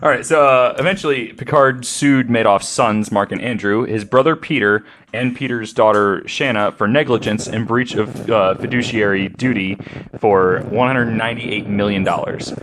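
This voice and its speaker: A man's voice: lowish voice, normal speed, noisy background. A man hosting a podcast, reading out a true-crime account with joking asides. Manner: joking, laughs often. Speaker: podcast host.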